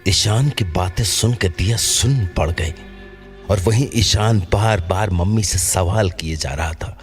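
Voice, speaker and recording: A man with a very low-pitched voice narrates a scripted horror story. Clear background music plays behind his storytelling. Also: unhurried.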